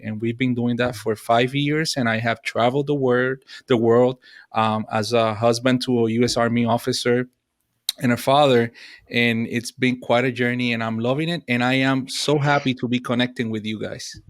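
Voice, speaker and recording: A man's voice: medium pitch, no room sound, studio quality. A man from Rico, a Spanish speaker, answering earnestly on a discussion panel with many filler sounds. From Puerto Rico. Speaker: panelist, fatherhood blogger.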